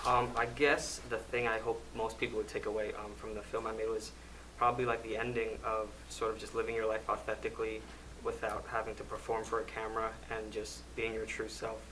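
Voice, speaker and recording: A man's voice: fairly low pitch, monotone, a little fast. A seventeen-year-old young man on a film festival panel, speaking hesitantly with many fillers. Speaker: panelist filmmaker. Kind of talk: casual panel answer. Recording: distant mic with clear noise.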